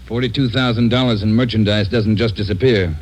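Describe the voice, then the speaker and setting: A man's voice: lowish voice, fairly even intonation, fairly quick, natural voice. A man playing a brusque, demanding chief of detectives in 1940s radio acting style. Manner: brusque, barking orders. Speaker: actor in radio drama. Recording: noisy vintage radio recording.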